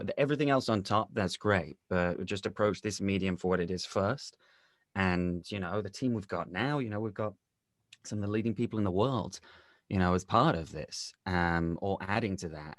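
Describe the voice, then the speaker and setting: A man speaking casually and reflectively as an interview guest, halting in his phrasing but enthusiastic. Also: low voice, dry recording, studio quality. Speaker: audio drama co-director, interview guest.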